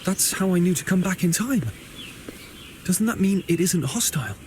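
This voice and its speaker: Earnest, lighter-pitched young hero. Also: male voice, very animated, normal speed, darkish tone, a little hiss or hum. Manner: earnest, sincere. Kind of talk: video game character voice acting.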